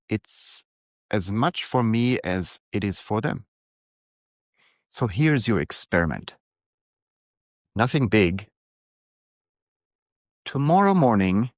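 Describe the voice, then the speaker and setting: A middle-aged man, speaking calmly and reflectively in a prepared monologue to his readers. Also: fairly low pitch, no echo, studio quality. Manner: calm, unhurried, reflective. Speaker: newsletter author and coach.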